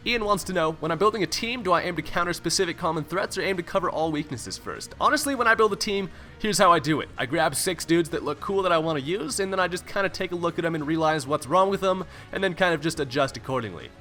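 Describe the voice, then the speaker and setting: A man's voice: a little high, lively intonation, fast talker, few pauses, pushed and bright, soft background music. A young man from California, a YouTuber reading out viewer questions and answering them in a joking, laid-back way, swearing casually. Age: young adult. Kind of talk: casual Q&A voice-over. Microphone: home recording mic, no webcam.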